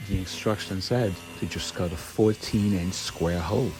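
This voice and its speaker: A man's voice: low-pitched, moderate pace, poor audio. A man narrating his own DIY build in a casual, candid, informal way, like a vlogger.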